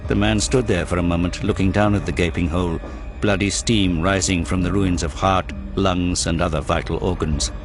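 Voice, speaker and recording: Low-voiced man narrating dark drama over music. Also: steady tone, moderate pace. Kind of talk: dramatic scripted audiobook narration.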